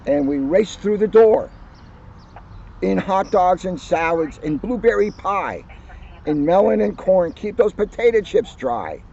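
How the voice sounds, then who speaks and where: A man who is a police officer, reading a children's picture book aloud outdoors with lively storytelling. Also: high voice, unhurried, creaky, dull audio, slight background noise. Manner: lively storytelling, livens up on quoted lines. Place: outdoors on a hill at a historic house.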